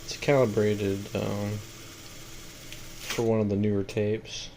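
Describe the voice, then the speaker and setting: A man's voice: lowish voice, little pitch movement, takes it slowly. A man giving a casual, matter-of-fact walkthrough of equipment he is selling, plain-spoken, in a noisy home-video recording. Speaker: seller demonstrating equipment.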